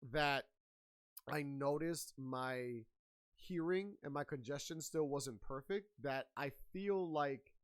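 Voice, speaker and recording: A man's voice: a little high, takes it slowly. A man hosting a casual podcast chat in a hyped, slangy, playful way. He sounds a bit nasal and congested while getting over a sinus infection. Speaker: podcast host.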